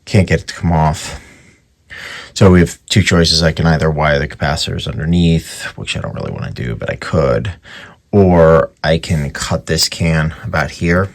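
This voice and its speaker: A man giving casual, hands-on repair commentary in a gravelly, husky voice, thinking aloud informally as he works. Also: rumbling low, normal speed. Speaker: radio repairer presenting his restoration.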